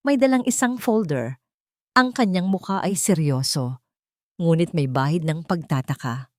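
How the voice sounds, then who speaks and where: A woman narrating a scripted dramatic love story in a measured, solemn storytelling manner. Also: lowish voice, expressive, darkish tone, dry recording, studio quality.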